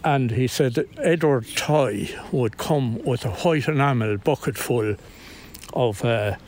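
An older man from County Kilkenny with an Irish accent, telling local memories in a halting, unscripted way outdoors. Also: mid-range voice, a little mellow. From Inistioge, County Kilkenny, Ireland. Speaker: local interviewee telling folklore. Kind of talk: unscripted reminiscing. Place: outdoors in estate grounds. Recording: outdoor location recording, clear background noise.

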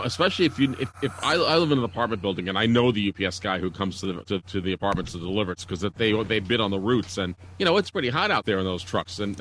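A man with a fairly low voice talks quickly and casually as a call co-host, repeating short words as he goes. There is faint noise behind him. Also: a bit bright. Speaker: co-host moderating a group call. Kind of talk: casual chat.

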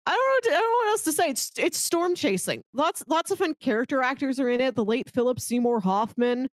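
Animated, higher-voiced woman podcaster. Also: a little fast, no echo. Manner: playful, informal recapping with acted-out paraphrased dialogue. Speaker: podcast co-host pitching movies. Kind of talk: casual film chat.

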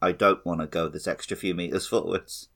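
Male co-host, slightly low voice, casual conversational explainer for a gaming podcast. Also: fairly even intonation, a little fast, fairly bright tone. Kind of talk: casual video game discussion.

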